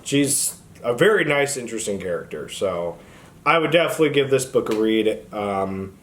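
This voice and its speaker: A man's voice: on the low side, lively intonation, normal speed. A man hosting a casual book vlog, talking off the cuff to viewers in an informal, opinionated way peppered with filler words. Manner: informal, opinionated, frequent "like" and "honestly". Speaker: book reviewer, video host.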